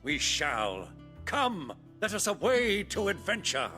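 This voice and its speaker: An actor playing a jovial, theatrical middle-aged noble uncle in a video game, grandiose and wry. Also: male voice, high-pitched, wildly swooping pitch, slow, bright, forceful tone, faint music behind, heavily acted. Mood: cheerful, grand.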